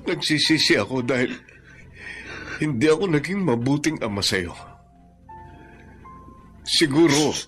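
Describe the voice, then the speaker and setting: A man's voice: a little high, animated, unhurried, pauses often, fairly bright tone, faint music behind. A man acting a contrite middle-aged father in a Filipino radio drama, solemn and emotional, with a Filipino accent. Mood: remorseful.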